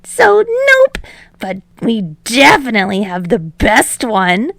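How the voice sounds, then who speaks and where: A woman's voice: a little high, huge pitch swings, slow pace, vocal fry, a bit bright, no room sound, heavily acted. A woman voicing a cheerful, clingy teenage villain girl in an audiobook, sweet, pleading and playful.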